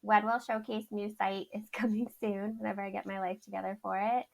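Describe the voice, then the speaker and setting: A woman's voice: little pitch movement, brisk, gravelly, darkish tone. A woman, a chatty podcast guest who laughs often. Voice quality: says her voice is high. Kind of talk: casual interview chat.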